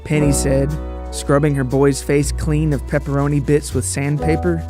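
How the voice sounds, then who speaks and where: A man's voice: a little high, flat delivery, moderate pace, music in the background. A man hosting a narrative podcast, talking to listeners in a joking, casual, storytelling way. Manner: joking, rambling, addresses listeners directly.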